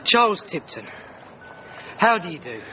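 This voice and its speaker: An actor playing a loyal, modest Edwardian manservant, deferential and earnest in a radio drama. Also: male voice, high voice, very animated, measured pace, highs cut off, slight background noise. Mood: shy, fond. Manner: deferential, restrained.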